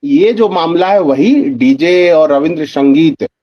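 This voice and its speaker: A man's voice: on the high side, measured pace, dry recording. A man speaking as a guest news analyst in conversational, pointed explanation, posing questions and answering them, over dull lo-fi audio. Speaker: senior journalist and political analyst, guest. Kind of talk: conversational political commentary.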